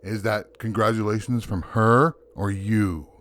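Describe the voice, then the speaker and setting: A man's voice: on the low side, steady tone, measured pace, very noisy, lightly acted. A man playing a weary, indignant Santa Claus, theatrical and grumbling, in an audio drama. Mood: dismayed, grumbling. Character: weary, indignant Santa Claus, big jolly boss turning rebel.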